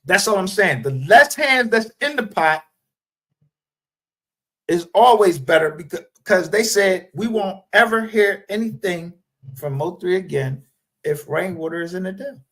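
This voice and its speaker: Man, 50s, high-pitched, casual livestream panel chat. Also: animated, unhurried, a bit bright. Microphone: streaming call.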